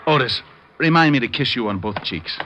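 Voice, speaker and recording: A man's voice: medium pitch, expressive, brisk, bright, forceful tone, slight background noise. A man playing a wisecracking, easygoing private detective in a vintage radio drama, wry and slangy. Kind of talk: 1940s-50s radio acting. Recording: dull vintage radio recording with music.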